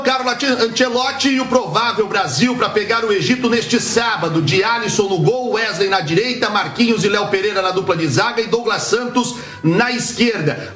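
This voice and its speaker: A man's voice: high-pitched, a bit bright. A Brazilian man reporting sports news on the radio. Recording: roomy echo, music bed behind. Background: background music.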